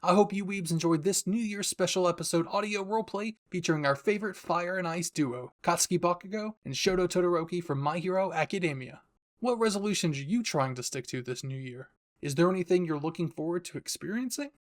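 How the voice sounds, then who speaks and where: A man's voice: sits high, fairly quick. A man, the creator of a roleplay channel, addressing viewers in an upbeat scripted sign-off.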